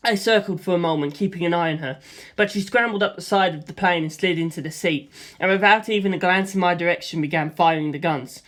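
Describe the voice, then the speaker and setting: A man reading a first-person action story aloud in a lively way, voicing gunfire sound effects himself. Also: high voice, fast talker. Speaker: audiobook reader.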